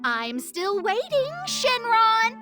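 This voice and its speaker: A woman's voice: very animated, slow, full character voice. A woman playing a youthful, plucky female deity who leads a patrol in an anime game, girlish, earnest and assertive. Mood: pert, insistent. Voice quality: high, girlish. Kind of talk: anime video game dub acting. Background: game music.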